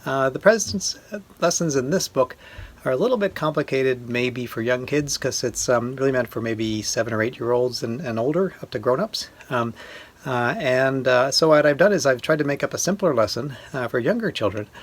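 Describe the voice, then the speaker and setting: A man giving a simple drawing lesson for young children, explaining step by step in a halting, gentle way. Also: mid-range voice.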